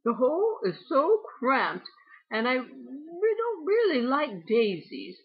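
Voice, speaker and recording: A woman's voice: sits high, big pitch swings, slow pace, slightly rough, lo-fi sound, pitched above the performer's own voice, full character voice. A woman voicing a friendly, wishful dog in a children's storybook, emphatic and a little plaintive. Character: friendly dog longing for a cosy home.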